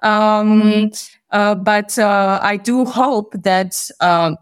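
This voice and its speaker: A woman's voice: mid-range voice, fairly even intonation, drawn-out words, smooth. Thoughtful woman from Bosnia in conversation. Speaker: podcast guest. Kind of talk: conversational interview answers.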